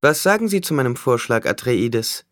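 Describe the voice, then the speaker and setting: A man's voice: mid-range voice, animated, moderate pace, fairly bright tone, dry recording, clean studio sound, voice pushed up, close to an everyday voice. A man voicing a cunning shapeshifter villain in an audiobook, smug and taunting as he negotiates with menace. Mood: self-satisfied. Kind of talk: audiobook character acting.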